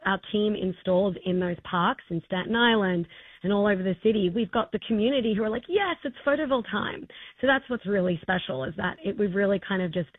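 A woman's voice: mid-range voice, no room sound. Woman, Australian accent, enthusiastic, rambling with restarts, dry tinny phone sound. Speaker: festival co-founder, guest. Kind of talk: casual interview answers.

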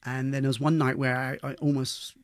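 A man's voice: mid-range voice, level tone, fairly quick, no echo. British man telling his story, dry studio. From London, England. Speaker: interview guest. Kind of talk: conversational personal storytelling.

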